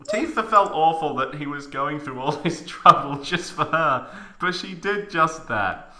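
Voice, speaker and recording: A man's voice: a little high, lively intonation, a little slow, bright, forceful tone. A man giving a mock dramatic reading of fan fiction, with theatrical, exaggerated emphasis.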